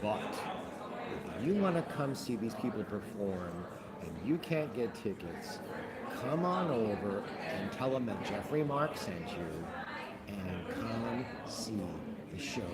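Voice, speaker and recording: A flamboyant older man chatting casually as a festival host, theatrical and self-mocking, his voice a bit deeper than usual today. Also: sing-song, slow pace, over a noisy crowd. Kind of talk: casual chat on a livestream.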